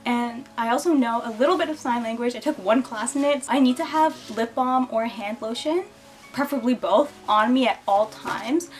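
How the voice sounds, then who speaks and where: A young woman chats to her camera about her childhood, laughing easily and slipping into playful voices for the people she quotes. Her voice sits a little high. Faint music plays underneath. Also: normal speed. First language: English. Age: young adult. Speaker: beauty and lifestyle vlogger. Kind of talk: casual storytelling to camera.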